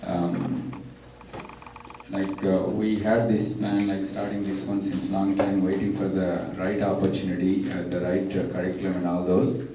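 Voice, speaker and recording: Deep, flat, halting man, echoey room. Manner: halting, many fillers like uh and like. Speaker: local center partner introducing the speaker. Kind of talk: hesitant introduction speech. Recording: echoey room from far off, faint noise.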